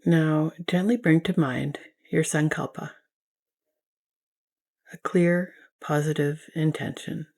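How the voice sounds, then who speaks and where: Slow, low-voiced woman guiding meditation. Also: steady tone. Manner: soothing, unhurried, gentle instruction. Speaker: guided meditation teacher. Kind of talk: guided yoga nidra meditation.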